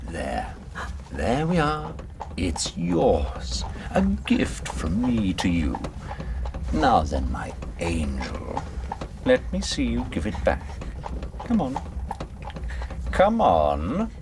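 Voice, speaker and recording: Menacing deep-voiced gunman. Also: huge pitch swings, drawn-out words, pauses often, noisy background, heavily acted. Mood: goading, mocking. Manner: goading, threatening, mocking. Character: menacing male killer with a gun, taunting and volatile. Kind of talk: radio drama acting.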